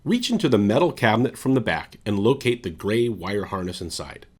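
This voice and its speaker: Low, husky male instructional narrator. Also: sing-song, a little fast. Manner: methodical, directive. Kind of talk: scripted step-by-step instructional narration.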